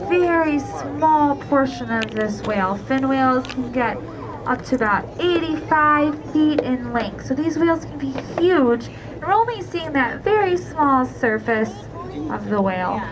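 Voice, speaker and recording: High-voiced woman explaining whales at sea. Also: unhurried. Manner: calm, explanatory. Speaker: whale watching tour naturalist. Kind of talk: educational tour commentary. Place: outdoors on a moving boat at sea. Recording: loud boat engine and wind noise.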